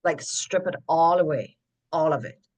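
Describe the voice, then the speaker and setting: A woman, a podcast guest telling her story in an animated, emphatic way, full of "like" fillers. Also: sits low, moderate pace. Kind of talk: conversational interview storytelling.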